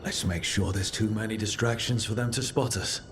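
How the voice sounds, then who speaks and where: An actor playing a steady, resolute leader in a video game, speaking earnestly and firmly to encourage his team. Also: on the low side, normal speed, soft background music. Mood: determined, commanding. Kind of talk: cinematic video game voice acting.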